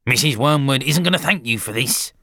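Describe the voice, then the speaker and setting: A man's voice: mid-range voice, expressive, brisk, bright, forceful tone, no room sound, clean studio sound, pitched above the performer's own voice. A man voicing a rude, curt middle-aged father in an audiobook, brusque and dismissive. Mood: grudging.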